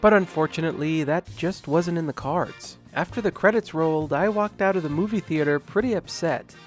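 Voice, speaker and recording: A man's voice: a little high, fairly quick, soft background music. A man hosting a video game channel, narrating a comedic scripted movie review with sarcastic, self-deprecating jokes.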